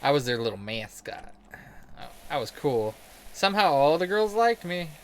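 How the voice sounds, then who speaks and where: A man's voice: on the high side, sing-song, normal speed. Casual sweary man rambling over noisy background. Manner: sweary, self-deprecating, sarcastic. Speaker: game streamer telling stories while playing. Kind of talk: casual rambling game commentary.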